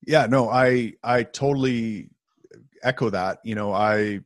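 A man with a fairly low voice chats as a podcast co-host. He is informal and jokey, happy to swear a little, and the sound is dry, with hardly any room to it. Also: a little slow, no room sound. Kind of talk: casual conversational podcast chat.

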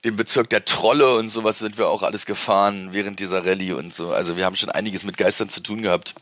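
A man's voice: on the low side, steady tone, fast, a bit bright, no echo, lo-fi sound. A man talking casually as an interview guest, in colloquial, easygoing language.

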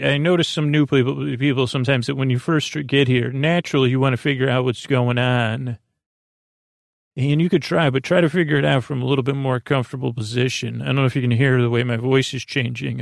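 A man with a creaky voice hosting a sleep podcast, soothing and meandering, halting as he wanders off on gently absurd, self-deprecating tangents. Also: medium pitch, steady tone, dry recording, clean studio sound. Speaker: host of a bedtime sleep podcast. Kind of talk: rambling, soothing bedtime monologue.

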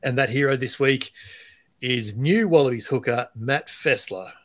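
A man's voice: medium pitch, unhurried. Upbeat male podcast host. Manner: upbeat, chatty, trails off quietly at phrase ends. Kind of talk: casual podcast chat with scripted reads.

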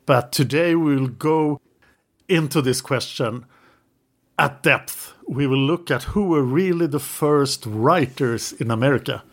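A man's voice: medium pitch, a little slow. A male podcast host with a mid-range voice who leads the conversation. He explains history at length in a casual way and now and then teases his co-host. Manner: teasing, leads the talk in long explanations.

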